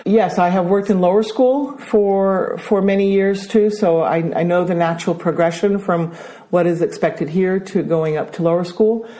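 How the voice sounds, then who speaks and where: A middle-aged woman with an American accent, a school principal answering interview questions with many hesitations. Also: lowish voice. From Brazil. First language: Danish. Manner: hesitant, many ums, warm about her work.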